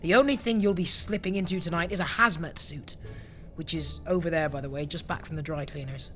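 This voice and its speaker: A man's voice: a little high, fairly even intonation, brisk, fairly bright tone, lightly acted. A man playing a long-suffering, pedantic junior clerk in a comedy audio drama, dry and matter-of-fact with a sardonic edge. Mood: dry irritation.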